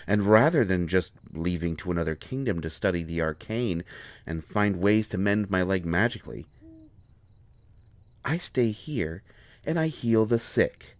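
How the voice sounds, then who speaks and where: A man playing an indignant, hurt healer mage in a fantasy roleplay audio, expressive, sarcastic and exasperated. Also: on the low side, dry recording. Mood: indignant, wounded. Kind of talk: dramatic roleplay audio acting.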